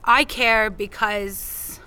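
Slow, bright-voiced, defiant woman. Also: mid-range voice, fairly even intonation, clear, resonant tone, rough recording. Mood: grieving, earnest. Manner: deliberate, pointed, with weighted pauses. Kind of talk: naturalistic audio drama dialogue.

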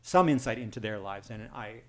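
Canadian man chatting in halting, repeating phrases. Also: on the low side, fairly bright tone. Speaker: podcast co-host. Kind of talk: casual conversational review.

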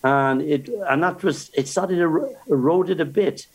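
A man's voice: fairly high pitch, measured pace. An older man, chatting casually and telling stories about learning the language. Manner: storytelling, with restarts. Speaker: guest. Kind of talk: casual chat.

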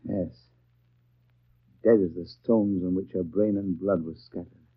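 Husky, low-voiced rustic gossip. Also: male voice, fairly even intonation. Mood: grim. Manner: folksy, halting storytelling with repeated phrases. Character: garrulous rustic English local man relishing grim news. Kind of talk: 1930s radio drama acting. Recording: dull, lo-fi 1930s radio broadcast.

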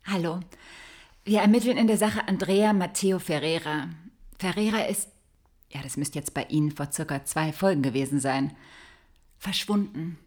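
A grave female detective played by an actress in a radio play, her voice on the low side. She is emphatic and accusing, a moral crusader on official business. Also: normal speed, darkish tone, lightly acted. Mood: matter-of-fact, official. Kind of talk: audio drama acting.

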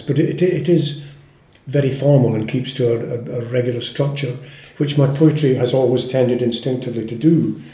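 A Scottish man in his eighties, a poet speaking and reading in a measured, formal way with careful pauses. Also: mid-range voice, warm tone. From Scotland. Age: 80s. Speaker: poet, interview guest. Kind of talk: literary interview with poetry reading. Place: indoor room with some echo. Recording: roomy, echoing indoor sound.

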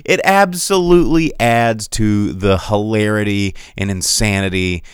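A man's voice: lowish voice, measured pace, clear, resonant tone, no room sound, studio quality. A man in his thirties hosting a podcast, chatting casually and sarcastically about movies and reading out viewer comments, with lots of fillers and restarts. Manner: rambling, animated, sarcastic. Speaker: podcast host. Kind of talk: casual live-stream chat about movies.

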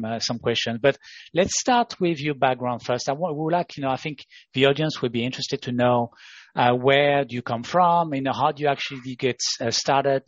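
A man's voice: medium pitch, dry recording, highs cut off. A man hosting a conversational interview, with loose phrasing that circles back on itself. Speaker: interview host.

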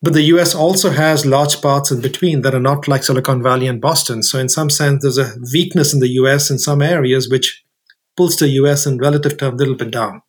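A man's voice: medium pitch, fairly quick, slightly rough. Methodical man explaining, mid-range voice. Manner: methodical, enumerating points. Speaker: interview guest, innovation expert. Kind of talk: explanatory interview talk.

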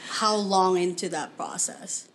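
A woman's voice: on the low side, unhurried, a little hiss or hum. A woman hosting an interview, asking questions in a chatty, encouraging way. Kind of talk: conversational interview.

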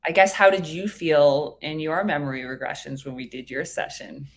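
Casting: female therapist as interview guest, low register, sincere and thoughtful, loose conversational delivery. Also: steady tone, normal speed. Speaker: hypnotherapist guest.

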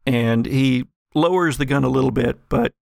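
A male game master with a creaky, gravelly grain in a dry, close recording. He describes each scene in an improvised, halting way, at a medium pitch. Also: no echo. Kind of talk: improvised narration of a tabletop roleplaying game.

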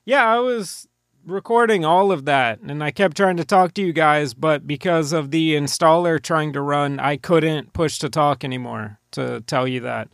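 A man's voice: on the high side, normal speed, vocal fry, dry recording. A man co-hosting a podcast in casual chat, with a sarcastic, complaining way of talking. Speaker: podcast co-host.

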